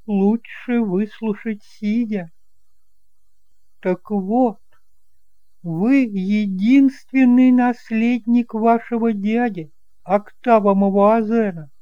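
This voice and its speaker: Man voicing a theatrical, high-pitched middle-aged aunt. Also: warm tone, clean tone, dry recording. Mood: businesslike, confiding. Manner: theatrical, exclamatory. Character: large middle-aged widowed shopkeeper aunt, gushing and condescending.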